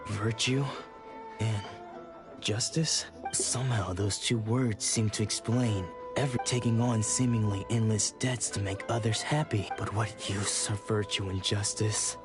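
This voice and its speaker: A man's voice: lowish voice, fairly even intonation, a little slow, close to an everyday voice. An actor voicing a troubled, humble swordsman in a video game, with formal, brooding speech. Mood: anguished, resigned. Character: troubled, humble swordsman who has lost his memories. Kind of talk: English video game voice acting. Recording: game audio with music.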